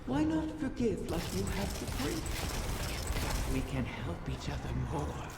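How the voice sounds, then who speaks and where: A woman playing an ancient, cunning female wish dragon in a game, seductive and menacing, with slow theatrical delivery. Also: lowish voice, sing-song, heavy background noise. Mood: pleading, coaxing. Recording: echoing, loud game music and effects behind.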